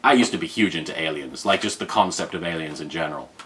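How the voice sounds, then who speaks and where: A man's voice: low voice, quick pace, fairly bright tone, slight background noise. A man hosting an unboxing in a casual, chatty style, enthusiastic and rambling with jokey asides. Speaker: unboxing show host. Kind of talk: casual chat, product unboxing commentary.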